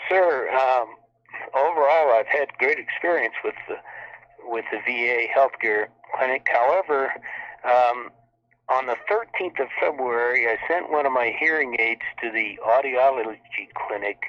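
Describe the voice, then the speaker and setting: Male caller, mid-range voice, polite and measured, heard through a dull telephone connection. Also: medium pitch. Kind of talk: spontaneous question on a public call.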